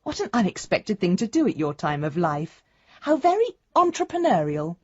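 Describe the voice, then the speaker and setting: A woman's voice: on the low side, big pitch swings, normal speed, slightly rough, no echo, muffled recording. An actress playing a concerned, affectionate grown daughter in a radio drama, chattily reading out a letter to her father. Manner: chatty, gently questioning.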